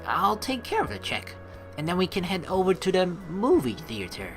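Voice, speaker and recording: A woman doing a let's play, with a low voice and lively, swooping intonation. She narrates on-screen text and slips into playful commentary over background game music. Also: normal speed. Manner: playful, casual, teasing asides.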